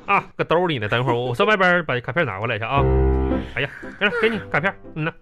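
Man acting animated, folksy Northeastern Chinese husband. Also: fairly high pitch, very fast, lightly acted. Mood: evasive, grumbling. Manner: blustering, folksy, excuse-making. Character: hapless, teasing middle-aged husband. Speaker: comedy radio drama performer. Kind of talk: comedic radio drama dialogue. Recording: music behind the voice. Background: background music.

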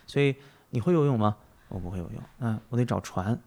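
A man with a medium-pitched voice hosts a conversation. He talks quickly, in a casual, reflective style. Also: warm tone. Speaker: podcast host, interviewer.

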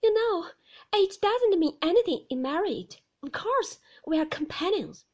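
A woman's voice: very high voice, animated, a little mellow, highs cut off, voice pushed up. A woman reading an audiobook voices a shy, anxious young wife, pleading and emotional. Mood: earnest. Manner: pleading, emotional, quick to protest.

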